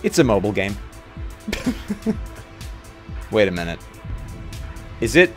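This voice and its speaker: Guy hosting a game stream, pitch jumps around a lot, jokey and excitable, music going behind him. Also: slow pace. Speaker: livestream host. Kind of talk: casual game-stream chat.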